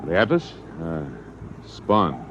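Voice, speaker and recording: A man's voice: sits low, wildly swooping pitch, slow pace, full character voice. A man playing a grim, dutiful junior starship officer in an American radio drama, speaking in a clipped, deferential military way. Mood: dutiful, sombre. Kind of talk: mid-century American radio drama acting. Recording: muffled, noisy vintage radio recording.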